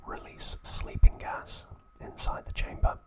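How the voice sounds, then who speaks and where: A man narrating in a hushed, breathy ASMR whisper, in short phrases with pauses. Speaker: ASMR host.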